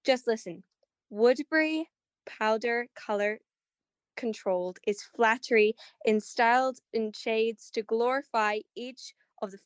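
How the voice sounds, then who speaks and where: A woman's voice: fairly high pitch, clear, resonant tone, no echo, natural voice. A woman playing a polished, persuasive announcer reading a 1940s-style radio advertisement. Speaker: commercial announcer.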